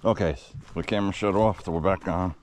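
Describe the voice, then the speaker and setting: A man's voice: sits low, fairly even intonation, a little fast, background noise. A man hiking a wooded trail and giving offhand, muttered commentary into a body-worn camera outdoors. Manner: offhand, muttering asides to himself. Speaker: hiker filming his walk. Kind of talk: casual spoken commentary while hiking. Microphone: body-worn action camera.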